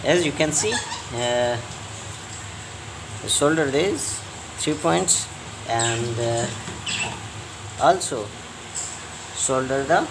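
A man talks slowly through a hands-on repair tutorial. He gives instructions in short, halting phrases and often repeats them. A clear, steady background noise runs under his voice. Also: mid-range voice. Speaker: repair technician and channel host.

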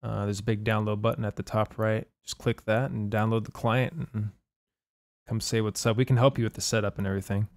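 A young man, hoarse from a long session, chatting loosely with his live-stream viewers about the game and reading their chat. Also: fairly low pitch, little pitch movement, a little fast, no room sound, studio quality. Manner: laid-back, swears casually, reads chat aloud. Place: home room at night.

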